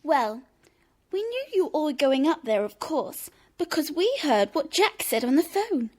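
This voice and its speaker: Cheeky, stubborn schoolgirl, high and very lively, teasing, for a children's radio play. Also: measured pace. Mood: boastful. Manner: teasing, smug, emphatic. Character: cheeky, stubborn young girl, smug little sister. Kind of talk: children's audio drama acting.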